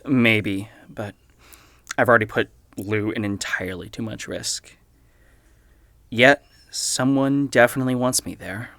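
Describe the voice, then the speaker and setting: Awkward young investigator, mid-pitched voice, hesitant, stammering, apologetic, naturalistic audio drama, dry sound. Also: male voice, measured pace, long pauses, fairly bright tone, no echo. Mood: earnest, guilty.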